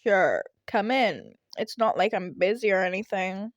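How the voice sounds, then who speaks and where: A woman's voice: mid-range voice, lively intonation, measured pace, no echo, highs cut off, voice pushed down, close to an everyday voice. Woman voicing a weary, sardonic male teacher. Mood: weary, sarcastic. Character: tired, irritated, dismissive male teacher.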